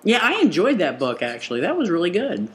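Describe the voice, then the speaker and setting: A woman's voice: lowish voice, wildly swooping pitch, fairly quick. A woman co-hosting a podcast in casual banter, playful and salty-tongued. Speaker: podcast co-host.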